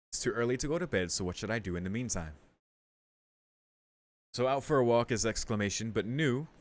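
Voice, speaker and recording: A man streaming a game, casually commenting and reading text aloud, thinking out loud as he goes. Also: on the low side. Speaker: let's play streamer. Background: game music.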